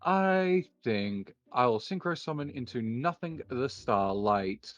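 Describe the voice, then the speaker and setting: A man improvising an anime card-duel roleplay, calling out his moves in a deliberate, mock-formal way. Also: mid-range voice, lively intonation, a bit bright, soft background music.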